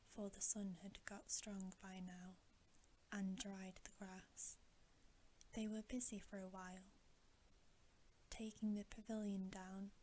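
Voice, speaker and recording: A woman reads a story for ASMR in a hushed, breathy whisper. Her pace is very slow and gentle, and her delivery stays flat and even, with long pauses. A faint hiss sits behind the voice. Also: medium pitch, rough recording. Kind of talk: ASMR bedtime story reading.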